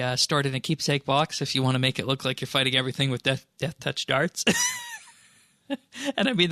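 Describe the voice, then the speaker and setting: A man with a noticeably creaky, mid-pitched voice talks as a podcast host. He explains game updates in a conversational manner, recorded dry and close. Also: sharp tone, no echo. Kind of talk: casual commentary on game patch notes.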